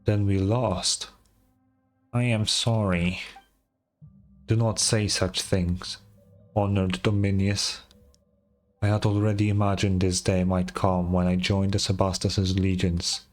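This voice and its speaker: A man's voice: low voice, little pitch movement, slow, long pauses, soft background music. A man voicing an ancient, defeated stone soldier in a video game, weary and solemn, with formal archaic speech.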